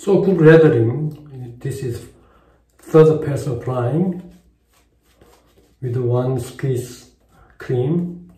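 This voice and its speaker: Slow, halting Korean-accented man. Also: mid-range voice, warm tone. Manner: halting broken English with many "uh" pauses. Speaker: shaving vlogger. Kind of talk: casual vlog talk while shaving. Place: tiled bathroom. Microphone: camera in front at close range. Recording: echoey tiled bathroom.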